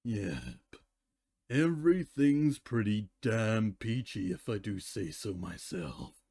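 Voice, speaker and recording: A man's voice: lowish voice, big pitch swings, slow pace, warm tone, slightly rough, no room sound, clean studio sound, exaggerated voice. A man voicing a smug, gleefully menacing female pony princess from a fan story. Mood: breezy, cheery.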